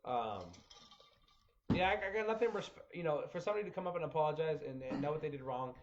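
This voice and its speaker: A man in his twenties in casual chat, talking in a halting, reflective, self-deprecating way. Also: a little high, a little hiss or hum. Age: 20s. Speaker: podcast host, former wrestler. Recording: dull lo-fi sound.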